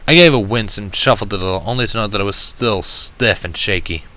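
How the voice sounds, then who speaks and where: A man reading a first-person story aloud with an expressive, wry, self-deprecating delivery. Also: lowish voice, fast talker. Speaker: fan fiction reader.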